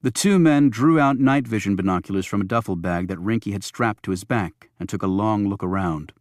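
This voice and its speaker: A man's voice: lowish voice, a little fast, dry recording, clean studio sound. A man narrating a thriller audiobook from a script.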